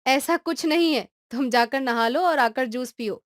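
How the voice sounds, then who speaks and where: A woman's voice: high-pitched, moderate pace, no room sound, clean studio sound, close to an everyday voice. A woman voicing a caring young mother in a cartoon story who scolds and reassures her son. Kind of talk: cartoon voice acting.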